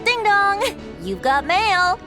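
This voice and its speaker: Lilting female game role: high voice, lively swooping intonation, music behind. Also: slow, exaggerated voice. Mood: playful.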